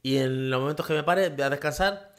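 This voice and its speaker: A man's voice: a little high, fairly quick. A man hosting a casual solo podcast from his home office, rambling with many fillers and tag questions. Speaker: podcast host.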